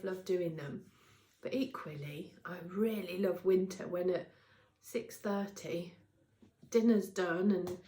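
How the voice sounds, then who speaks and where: A woman's voice: mid-range voice, a little mellow. This is a middle-aged British woman hosting a casual craft vlog, with noticeable room echo around her. She sounds congested and a little nasal from a cold. She talks in a chatty, wandering way, repeating words for emphasis and tagging little questions onto her sentences. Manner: chatty, rambling, emphatic repetition, tag questions. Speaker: knitting podcast host. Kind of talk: casual chat to camera. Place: indoors at a craft workplace. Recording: roomy, echoing indoor sound.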